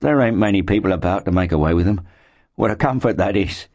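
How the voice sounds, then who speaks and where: A man's voice: deep voice, fast talker, a little mellow, voice pushed up. Man voicing a chirpy, lifted-voice young working lad. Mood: reassuring, breezy. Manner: hearty, colloquial, respectful. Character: cheerful, chatty, unflappable young working-class English man.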